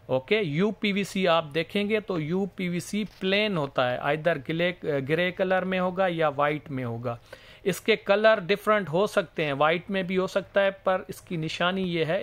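A man's voice: fairly high pitch, a bit bright. A man giving an informal hands-on explanation as an instructor, going step by step and repeating key points. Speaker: plumbing instructor and video presenter.

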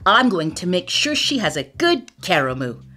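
A woman voicing an eager, earnest little boy rabbit, the youngest in his family, in a high pleading voice. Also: big pitch swings, normal speed, pushed and bright.

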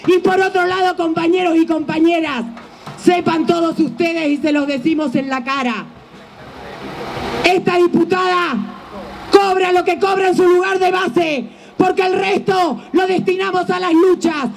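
A middle-aged woman with an Argentine accent giving an impassioned, forceful rally speech outdoors into a handheld microphone, as a union leader. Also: extremely high pitch, fairly even intonation, unhurried, vocal fry. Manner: impassioned, forceful shouted oratory. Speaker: teachers' union leader and legislator. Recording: outdoor microphone with faint noise.

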